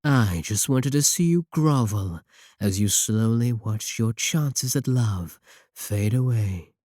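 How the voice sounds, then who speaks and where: A man playing a sly, sadistic warlock in a roleplay audio drama, smug and possessive, with taunting, deliberate phrasing. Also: mid-range voice, expressive, dry recording, studio quality. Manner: taunting, drawn-out, deliberate phrasing with dark chuckles. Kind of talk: scripted roleplay audio drama, spoken to the listener.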